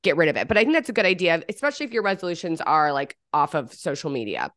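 A woman's voice: deep voice, big pitch swings, fast, fairly bright tone, dry recording, studio quality. A young woman co-hosting a podcast in casual chat, slightly congested from a cold, giggly and full of "like". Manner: chatty, giggly, lots of "like". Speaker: podcast co-host.

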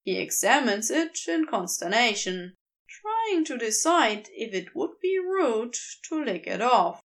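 Slow, expressive woman storyteller. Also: fairly high pitch. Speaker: podfic reader. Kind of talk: scripted story narration.

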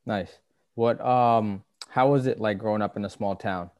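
A man hosts a basketball podcast in a dry, close sound, his voice sitting on the low side. He asks questions casually, stumbling over fillers as he goes. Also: steady tone, a little mellow, no echo. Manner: easygoing, halting with uh fillers. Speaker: podcast host. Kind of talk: casual interview chat.

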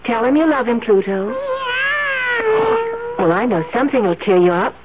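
An actress playing a doting, cheerfully oblivious wife with a gushing, coaxing manner in a 1970s radio drama. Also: female voice, medium pitch, expressive, normal speed, lightly acted. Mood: gushing, delighted. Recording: muffled old radio, faint noise.

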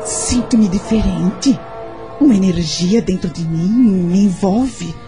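A woman's voice: medium pitch, takes it slowly, warm tone, soft background music. A woman acting in a radio soap opera as a hard-working, anxious mother, speaking with hesitant emotion.

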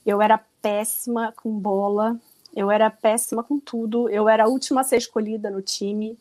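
A woman's voice: fairly high pitch, steady tone, normal speed, darkish tone. Chatty Carioca woman laughing between stories. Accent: Carioca Brazilian Portuguese. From Rio de Janeiro, Brazil. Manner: chatty, laughs often, self-deprecating. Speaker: guest. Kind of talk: casual interview chat.

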